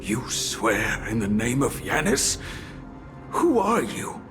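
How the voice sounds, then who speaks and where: Devout priest, slow n solemn, really swoops around, game dialogue. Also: male voice, medium pitch, huge pitch swings, full character voice. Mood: shocked, contrite. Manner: solemn, formal, dramatic. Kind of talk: video game voice acting. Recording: loud game music underneath.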